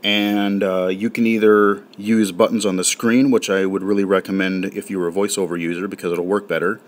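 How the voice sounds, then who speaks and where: A man gives a relaxed, rambling demonstration of an app, full of fillers and restarts. His voice sits on the low side. He sounds informal and unscripted. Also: a little fast. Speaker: game reviewer. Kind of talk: casual spoken app walkthrough.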